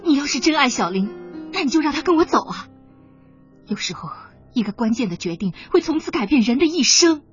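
An ambitious, well-off mother in a radio drama. Her fairly high voice is earnest and insistent, with very animated intonation and pointed questions. Music plays behind her. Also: fairly quick, dull audio. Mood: insistent, reproachful. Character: wealthy, ambitious ex-wife and mother, persuasive, pleading. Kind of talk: Chinese radio drama acting.

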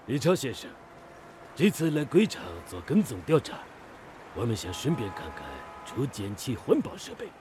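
An actor playing a formal, probing Japanese engineering expert in a radio drama. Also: male voice, mid-range voice, sing-song, moderate pace, lightly acted. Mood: formal, polite. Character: Japanese male engineering expert, astonished then respectful. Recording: clear background noise.

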